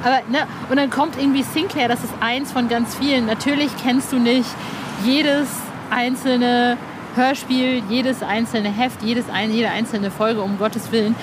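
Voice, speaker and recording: A woman's voice: on the high side, steady tone, measured pace, noisy background. A woman hosting a podcast interview in a casual, chatty way, informal and eager in her emphasis. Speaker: podcast host, music journalist.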